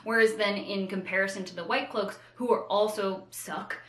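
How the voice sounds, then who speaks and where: A woman co-hosting a casual book discussion, retelling in an animated way with playful, slangy asides. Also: mid-range voice, normal speed. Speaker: co-host of a book discussion show. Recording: roomy echo.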